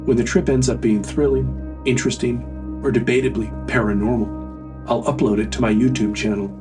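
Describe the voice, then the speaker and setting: A man narrates a scripted horror story in the first person. His voice is medium in pitch and sits a little way off from the mic, with music clearly playing behind it. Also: fairly even intonation, normal speed, recorded from a distance.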